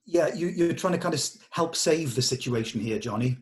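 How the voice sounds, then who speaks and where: A man speaks as the director of a script table read. He gives notes diplomatically, explaining his reasoning in a measured way. His delivery is that of working conversation with actors and a writer. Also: on the high side, expressive.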